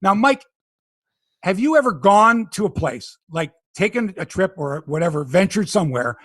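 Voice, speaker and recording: A man's voice: high voice, very animated, moderate pace, fairly bright tone, no room sound. A middle-aged Canadian man hosting a sports podcast, opinionated and sarcastic in casual talk. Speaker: podcast host.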